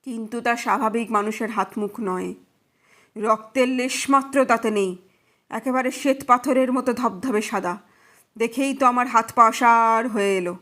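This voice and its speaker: A woman's voice: fairly high pitch, moderate pace, fairly bright tone, close to an everyday voice. Woman as a shaken young man recounting horror. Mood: frightened, suspenseful. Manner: earnest, dramatic, suspenseful recounting. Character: shaken young brother-in-law recounting a ghostly night visitor.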